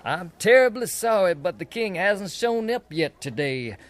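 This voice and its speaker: A man's voice: high voice, expressive, measured pace. An actor playing a scheming, resentful villain in an audio drama, pompous and unctuous in his delivery. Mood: slyly persuasive. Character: scheming, resentful villain, flattering courtier turned dark warlord. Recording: echoey hall reverb with background noise.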